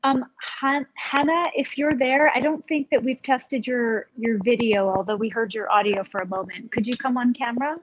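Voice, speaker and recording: Husky woman moderator on a video call. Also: on the high side, normal speed, hardly any pauses. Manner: polite and reassuring. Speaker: webinar moderator. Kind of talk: casual pre-show chat on a video call. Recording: dull, dry video call audio.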